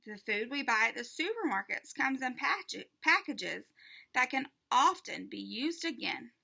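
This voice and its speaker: Woman reading as a keen, animated male schoolteacher. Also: fairly high pitch, a little slow, gravelly, exaggerated voice. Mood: instructive. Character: male schoolteacher, patient, keen explainer of recycling.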